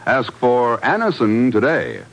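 A man's voice: medium pitch, wildly swooping pitch, unhurried, dull audio, over loud music. A man working as a 1950s radio announcer, reading scripted sponsor copy and credits in a polished, emphatic way.

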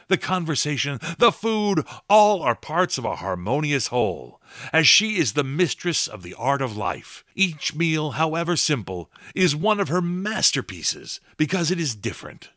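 A man's voice: a little high, bright, forceful tone, dry recording, clean studio sound, exaggerated voice. An actor playing a grandiose man announcing and narrating in a dramatic 1930s radio style. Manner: dramatic, emphatic. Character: grandiose male old-time radio announcer.

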